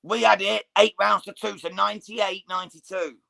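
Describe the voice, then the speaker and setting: A man's voice: high voice, steady tone, normal speed, gravelly, a loud crowd. A man co-hosting live boxing commentary in a casual, blokey, emphatic way.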